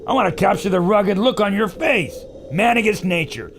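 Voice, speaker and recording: An actor playing a brash, boastful filmmaker in an adventure radio serial, bossy and excitable. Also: male voice, high voice, moderate pace, a little hiss or hum. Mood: hearty, directing. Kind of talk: old-time adventure serial radio acting.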